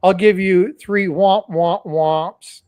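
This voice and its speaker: A man's voice: high-pitched, steady tone, takes it slowly, darkish tone, clean tone, no echo, clean studio sound. A man hosting a livestream, talking casually and cautioning his audience, often repeating phrases and addressing them directly. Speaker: host. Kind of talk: livestream commentary.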